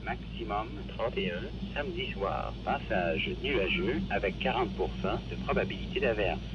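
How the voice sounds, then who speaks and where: A man reading a scripted weather bulletin in a measured, formal way with clipped phrasing, heard through a portable radio. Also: fairly low pitch, animated. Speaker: weather forecast announcer. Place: radio broadcast played through a portable receiver. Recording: dull, very noisy weather radio reception.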